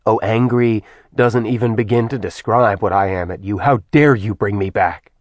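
A man's voice: fairly low pitch, moderate pace, dry recording, close to an everyday voice. A man playing a bitter, vengeful immortal man who snarls venomously with a raised, seething voice.

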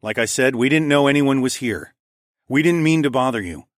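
A man's voice: medium pitch, animated, normal speed, dry recording, studio quality, voice pushed up, lightly acted. A man voicing a wary, protective warrior hero in a fantasy audiobook, casual and given to muttering. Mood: guarded, casual.

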